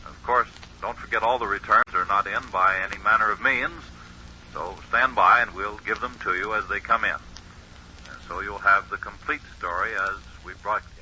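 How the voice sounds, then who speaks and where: An actor playing an eager 1920s radio announcer in a radio drama, relaying bulletins in a formal, emphatic way. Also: male voice, lowish voice, steady tone, moderate pace, sharp tone. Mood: urgent, excited. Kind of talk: 1940s radio drama acting, news bulletin delivery. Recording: muffled, noisy vintage 1940s radio recording.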